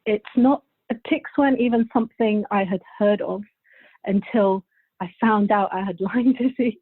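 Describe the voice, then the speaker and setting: A woman's voice: medium pitch, normal speed, husky, dry recording, narrow, tinny audio. A thirty-year-old woman from Bulgaria who moved to England as a child, chatting casually as a podcast guest. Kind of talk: casual interview chat.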